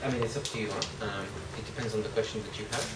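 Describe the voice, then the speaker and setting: Moderator, male, quick and courteous, distant mic in a noisy roomy hall. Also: on the low side, steady tone. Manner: brisk and polite. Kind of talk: chairing a conference session. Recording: echoey hall, distant mic, loud background noise.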